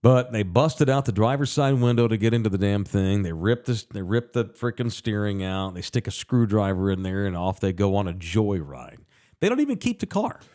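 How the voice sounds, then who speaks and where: A middle-aged man, chatting casually as a podcast co-host, animated and quick to laugh. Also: on the low side. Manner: animated, laughs often, jokey. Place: sports bar studio.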